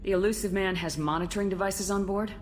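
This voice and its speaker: A woman's voice: mid-range voice, fairly even intonation, quick pace, lightly acted. A woman playing a serious, direct military starship commander in a video game, blunt and businesslike. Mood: serious, inquiring. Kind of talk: scripted video game dialogue. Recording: clear background noise, ship ambience.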